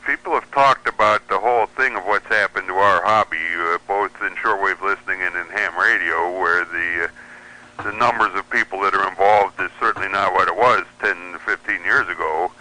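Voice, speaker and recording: Bright, forceful older-sounding male radio guest. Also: fairly low pitch, poor audio. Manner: anecdotal, conversational storyteller. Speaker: radio show guest and hobby columnist. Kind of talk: casual chat, reminiscing.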